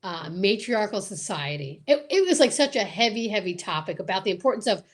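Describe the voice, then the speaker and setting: A woman's voice: mid-range voice, very animated, brisk. Emphatic, enthusiastic woman chatting as host. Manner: enthusiastic, emphatic, repeats words for stress. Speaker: host of a radio drama group's live chat. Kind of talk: casual chat.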